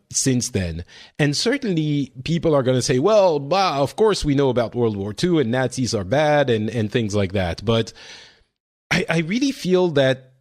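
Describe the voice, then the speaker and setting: A man's voice: mid-range voice, darkish tone. A man in his forties from France, hosting a casual podcast discussion in a chatty, self-interrupting way. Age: 40s. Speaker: podcast host.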